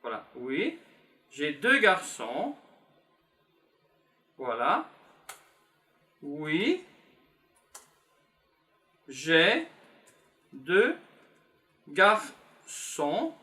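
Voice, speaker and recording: A man's voice: a little high, sing-song, bright, forceful tone. A man in his fifties from Belgium, teaching beginners and patiently repeating simple phrases as he writes them down. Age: 50s. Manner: slow, patient, repeats phrases while writing. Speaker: French teacher. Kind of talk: classroom lesson for beginners.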